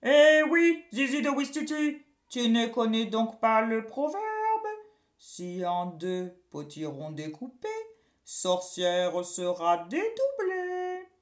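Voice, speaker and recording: A woman's voice: a little high, expressive, voice pushed up. Theatrical, swooping angry witch. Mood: menacing. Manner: theatrical, drawn-out scolding and taunting. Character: angry boastful witch hurling silly gross insults.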